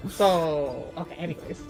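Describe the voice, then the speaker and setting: A woman at a tabletop game, reacting casually in an expressive, teasing, slangy way. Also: low voice, creaky, poor audio.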